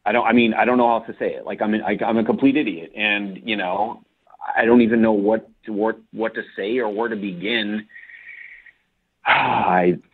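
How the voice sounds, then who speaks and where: Husky, low-voiced man on a muffled call. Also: fairly even intonation. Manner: halting, apologetic, stumbling over words. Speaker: phone-in caller. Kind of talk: unscripted personal phone conversation. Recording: muffled, dull phone call.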